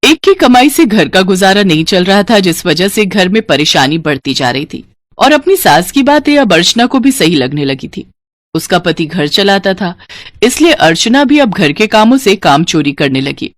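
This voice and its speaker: A woman's voice: mid-range voice, very animated, normal speed, dry recording. A woman storyteller reading a scripted moral tale as narration.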